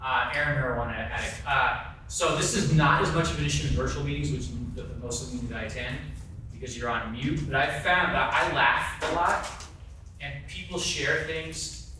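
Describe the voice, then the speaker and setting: A man's voice: medium pitch, steady tone, fairly quick, sharp tone. A man talking casually to a group, tentative and rambling as he puts his thoughts across. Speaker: twelve-step meeting member asking a question. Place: large echoey indoor convention room. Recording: echoey room, distant mic, faint noise.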